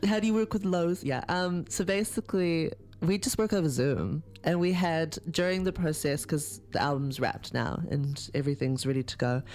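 A woman's voice: low voice, normal speed, few pauses, darkish tone. Brief: laid-back woman artist guest, giggly, chatty, studio mic. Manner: giggly, lots of "like" fillers. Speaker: musician guest. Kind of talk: casual radio interview chat. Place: radio studio.

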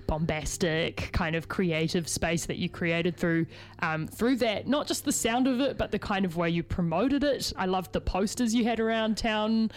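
A woman with a New Zealand accent hosting a radio interview in the studio, chatty and quick to laugh. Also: medium pitch. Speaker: radio host.

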